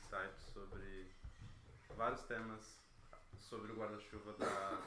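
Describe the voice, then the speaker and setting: A man's voice: fairly low pitch, flat delivery, slow. A Brazilian man from São Paulo informally introducing himself and his interests. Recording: echoey room with clear noise.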